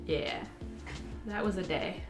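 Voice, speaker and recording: A young woman chatting casually on a stream, reading out viewer messages and telling animated stories with fillers and giggles. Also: fairly low pitch, slow pace. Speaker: stream co-host. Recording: faint music behind.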